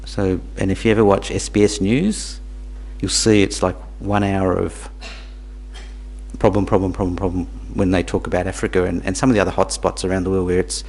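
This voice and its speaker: Deep-voiced guy teaching buddhism to a small group, stops and restarts a lot, asks them questions. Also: level tone, vocal fry, darkish tone, poor audio. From Tanzania. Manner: halting, repeats words, asks the audience questions. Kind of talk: dharma talk to a small group.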